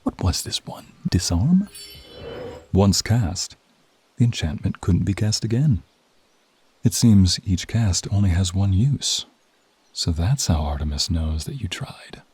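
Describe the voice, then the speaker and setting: A man delivers slow, dramatic ASMR storytelling in a low, very dark and mellow voice. His hushed narration often sinks into a whisper. Noise and ambience sit faintly but clearly behind him. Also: expressive, no echo. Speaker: narrator of an audio roleplay.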